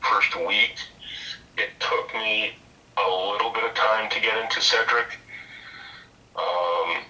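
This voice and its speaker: A middle-aged man with a deep voice telling a rambling story on a video call, repeating words as he goes. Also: steady tone, slow, long pauses, pushed and bright, distant mic, poor audio. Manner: rambling, repeats words, quotes others in his own voice. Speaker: interview guest, former game programmer and voice actor. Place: home room with bookshelves, indoors.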